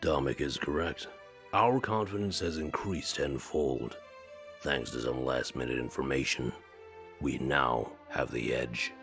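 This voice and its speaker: A man voicing a calm, grave furry strategist in a visual novel, speaking formally in a very deep voice. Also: gravelly, soft background music, heavily acted. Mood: assured. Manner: formal, measured.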